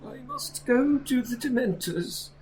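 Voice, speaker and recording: A woman playing a calm, dignified old male wizard headmaster in an audio drama, measured and formal. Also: a little high, noisy background. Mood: calm, firm.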